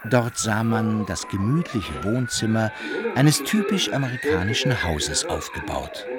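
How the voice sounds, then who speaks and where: An actor as the wry storyteller of a satirical tale, reading scripted radio-play narration. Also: male voice, on the low side, sing-song, unhurried, a loud crowd.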